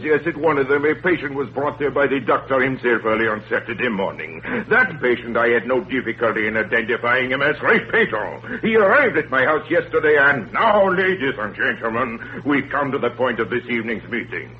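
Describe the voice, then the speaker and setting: Tinny 1930s radio actor as a pompous theatrical detective. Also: male voice, medium pitch, lightly acted. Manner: pompous, deliberate, dramatic pauses and formal phrasing. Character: theatrical male detective, courteous, commanding, self-important. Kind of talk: 1930s radio drama acting. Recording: tinny, noisy, humming 1930s radio broadcast.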